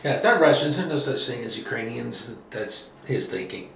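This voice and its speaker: A man hosting a casual political podcast conversation, relaxed and agreeable, with lots of filler words. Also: mid-range voice, normal speed, creaky. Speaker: podcast host. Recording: echoey room with faint noise.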